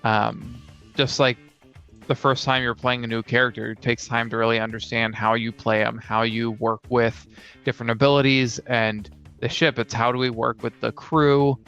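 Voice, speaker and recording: Man, creaky voice, medium pitch, casual co-host, slightly dull recording, gaming chat. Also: little pitch movement, normal speed, fairly bright tone, soft background music. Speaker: podcast co-host and tabletop player. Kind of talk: casual chat about tabletop role-playing.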